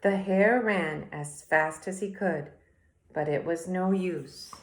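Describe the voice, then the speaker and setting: A woman teacher reading aloud and explaining to young children in a deliberate, expressive way, speaking to them directly. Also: on the low side. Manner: deliberate, addresses children directly with questions and asides. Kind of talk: expressive children's read-aloud and mini lesson.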